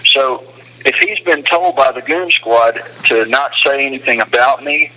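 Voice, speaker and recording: Man, bright forceful voice, middle pitch, emphatic explaining, opinionated casual talk, phone call. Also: unhurried. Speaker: detainee speaking on a phone call from a detention facility. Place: phone call from a detention facility.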